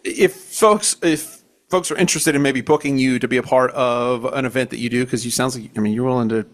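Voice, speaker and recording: A man hosting a podcast, chatting casually and eagerly agreeing with his guest. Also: mid-range voice. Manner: eager, quick to laugh, frequent affirmations. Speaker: podcast host. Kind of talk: casual interview chat.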